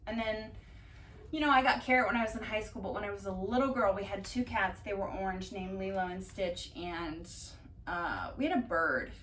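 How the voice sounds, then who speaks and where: A woman doing a casual ASMR-style storytime, reading aloud and drifting into dry, deadpan asides. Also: medium pitch, normal speed. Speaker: cat vlogger reading bedtime picture books aloud. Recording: distant mic, roomy background noise.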